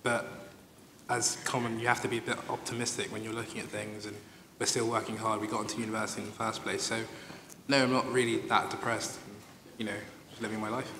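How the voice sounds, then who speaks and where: A 21-year-old man, a law student on a panel, talking in a relaxed, casual way. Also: fairly low pitch, fast. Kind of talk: live panel discussion. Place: conference room. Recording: echoey hall with clear noise.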